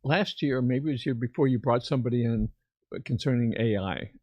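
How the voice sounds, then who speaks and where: A man hosting a casual interview in a studio, asking questions in a halting, conversational way. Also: mid-range voice, a little mellow, dry recording, highs cut off. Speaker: show host.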